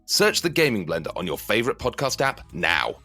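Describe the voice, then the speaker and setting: A man delivers a scripted podcast promo as its host, with very lively, swinging intonation over light music. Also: fairly low pitch, brisk.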